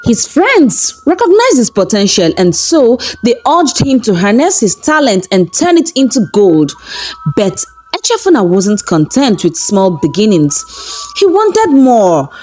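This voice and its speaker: A woman narrating a scripted folktale in a dramatic, expressive storytelling manner. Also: on the high side, unhurried. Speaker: storyteller, channel host. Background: background music.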